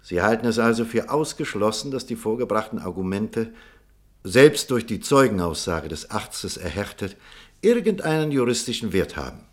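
An actor playing a thoughtful, sceptical doctor in a German radio play, measured and earnest as he questions. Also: fairly low pitch, sing-song. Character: male doctor, thoughtful, sceptical, conscientious debater.

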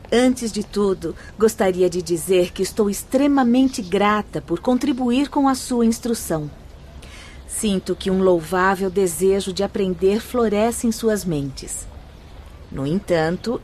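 A woman's voice: medium pitch, darkish tone, lightly acted. An actress playing a young, gracious, earnest female spirit instructor in a radio drama, formal and didactic. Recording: faint background noise.

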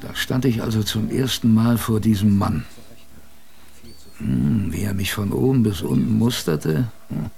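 An actor playing a gruff but fond old sailor grandfather, telling his memories in a salty, colloquial radio-play manner. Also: on the low side, darkish tone. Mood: wistful reminiscing. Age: older. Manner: colloquial, salty storytelling with musing pauses. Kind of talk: radio drama first-person narration.

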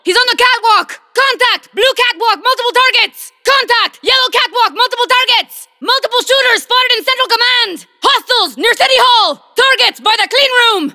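Shrill, bright-voiced combat soldier. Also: female voice, moderate pace, few pauses, heavily acted. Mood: urgent. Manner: clipped, urgent military barks. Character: tough female soldier calling out in combat. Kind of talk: video game combat callouts.

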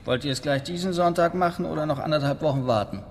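A man's voice: on the high side, fast, noisy background, lightly acted. A man playing a wary gang member in a radio crime drama, questioning things sceptically.

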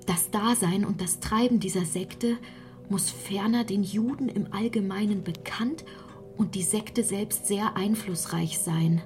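A woman playing a solemn reader who recites a formal text in a deliberate, declamatory way. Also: medium pitch, a little mellow, soft background music, natural voice. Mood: solemn, insistent. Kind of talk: formal declamatory reading in radio drama.

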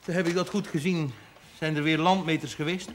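An actor playing a breezy, worldly country squire in a television period drama, casual, ironic and a little lordly. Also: male voice, fairly high pitch, fairly even intonation, moderate pace, a little hiss or hum, natural voice. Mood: amused, dismissive.

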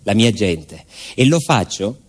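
A man from southern Italy narrating a personal theatre monologue in deliberate short phrases with emphatic repetition. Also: lowish voice, big pitch swings, husky. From Salento, southern Italy. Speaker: stage narrator and actor.

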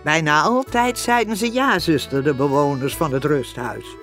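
A woman's voice: low-pitched, moderate pace, faint music behind, natural voice. An actress playing a nursing sister who narrates her own story in a scripted radio play. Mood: confiding.

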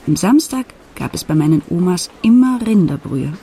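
An actress playing a grown daughter who retells her family's past in measured, matter-of-fact radio play narration. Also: on the low side, lively intonation, dark tone, a little hiss or hum. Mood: measured, reflective.

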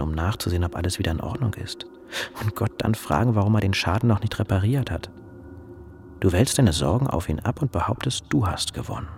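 An actor playing a devout, overwhelmed relief helper in a German radio drama, speaking earnestly with preacher-like rhetorical repetition. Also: male voice, low-pitched, vocal fry. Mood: earnest faith.

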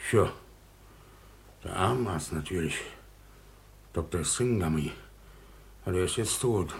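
An actor playing a coarse, lazy, pompous workhouse master and grumbling husband in a 1950s radio drama. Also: male voice, deep voice, animated, a little slow, vocal fry, heavily acted. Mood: oily, servile.

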